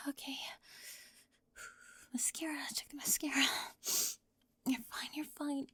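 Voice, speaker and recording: A woman playing a bossy, high-strung, organised teenage girl in an ASMR roleplay, fussy and emphatic, often dropping into breathy whispers. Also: little pitch movement, takes it slowly, a bit bright, dry recording. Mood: vulnerable, anxious. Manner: fussy, emphatic, flustered, clipped.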